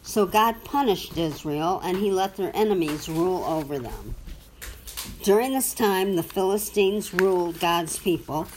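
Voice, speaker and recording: Slow-paced woman reading a children's storybook. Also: fairly low pitch, animated, a little mellow, slight background noise, poor audio. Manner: simple, deliberate phrasing with questions to the listening child. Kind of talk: read-aloud children's Bible storybook with asides to a child.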